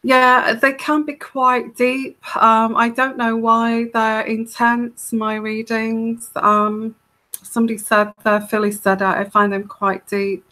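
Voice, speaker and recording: A woman with a soft, soothing voice hosting a live tarot Q&A, chatting casually and rambling with many fillers and restarts. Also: on the high side, little pitch movement, slow pace. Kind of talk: casual live-stream chat.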